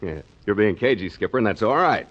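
A man's voice: on the low side, animated, a little fast, fairly bright tone. An actor playing a sly, cocky sailor schemer in 1940s radio drama, with slangy, needling tough-guy talk on a muffled old recording. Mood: cagey, confident. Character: sly, cocky waterfront schemer, a sailor angling to join a ship.

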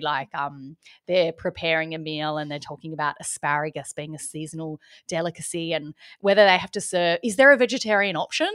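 A woman's voice: fairly low pitch, fairly bright tone, no echo. An Australian woman co-hosting a casual chat about books and food, chatty and enthusiastic, often laughing. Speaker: podcast co-host.

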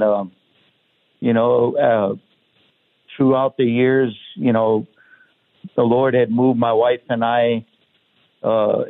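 A man from Hawaii with a Hawaiian pidgin accent, a guest talking casually and relaxed, with lots of "you know". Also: mid-range voice, vocal fry, no room sound. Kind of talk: casual interview talk. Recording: tinny phone line.

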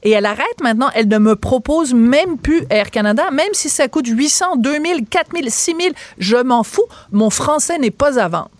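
A woman's voice: high-pitched, lively intonation, moderate pace, few pauses, no room sound. A middle-aged woman with a Québécois accent, a radio co-host arguing her point with passion and emphatic repetition. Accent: Québécois French. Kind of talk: opinionated radio debate. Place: radio studio. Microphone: studio microphone.

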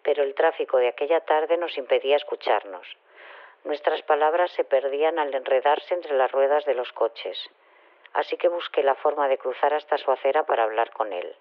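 A man's voice: mid-range voice, normal speed, close to an everyday voice. An actor playing a solemn man who tells an eerie first-person story in an audio drama. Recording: narrow phone-like sound.

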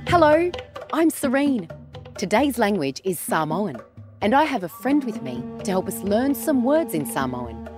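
A woman's voice: on the high side, sing-song, a little slow, over music. A woman hosting a children's podcast in a cheerful, sing-song presenting style.

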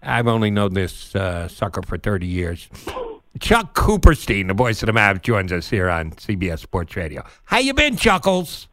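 A man's voice: on the low side, wildly swooping pitch, normal speed, a bit bright, no echo, studio quality. An older man hosting a sports radio interview, talking informally in a chummy, bantering way. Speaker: sports talk radio host.

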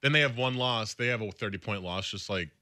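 A man co-hosting a sports radio show, talking college football in quick, casual conversation with a lot of filler words. His voice is slightly raspy from a recent illness. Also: fairly low pitch, bright, forceful tone, dry recording, clean studio sound. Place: radio studio.

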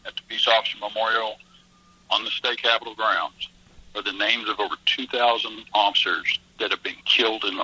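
A man's voice: fairly low pitch, sharp tone, breathy edge, low-quality recording. A man calling in as a guest to a radio talk show, earnest and emphatic as he argues his point. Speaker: police association guest. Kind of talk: phone-in radio interview.

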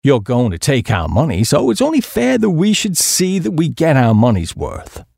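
A man's voice: mid-range voice, wildly swooping pitch, moderate pace, vocal fry, darkish tone, no echo, clean studio sound, exaggerated voice. A man voicing a stout, suspicious American small-town businessman in an audiobook, blunt and insistent. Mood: challenging.

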